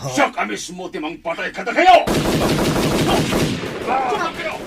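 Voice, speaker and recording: A man playing a snarling, menacing gang boss in a radio drama, shouting and gloating at his men and enemies. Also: extremely high pitch, big pitch swings, a little slow, vocal fry, heavy background noise, full character voice. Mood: menacing fury. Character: snarling male villain, gang boss commanding armed men. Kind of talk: Ilocano radio drama acting.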